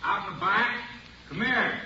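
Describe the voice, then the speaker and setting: An actor playing a brisk, folksy police officer reporting in an informal, laconic 1950s radio drama manner. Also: male voice, high-pitched. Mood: matter-of-fact. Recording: muffled, noisy vintage radio recording.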